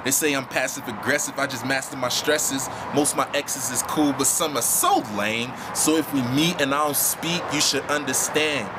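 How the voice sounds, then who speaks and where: A man's voice: mid-range voice, normal speed, few pauses, background noise. A man rapping a verse in a rhythmic flow outdoors. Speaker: rapper. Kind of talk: rap verse. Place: outdoors by a house doorway.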